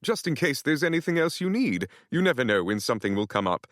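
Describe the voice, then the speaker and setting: A man's voice: medium pitch, very animated, fast talker, fairly bright tone, no echo, studio quality, voice pushed up. A man voicing a small, fussy male butler with a British accent and a formal, overly polite manner.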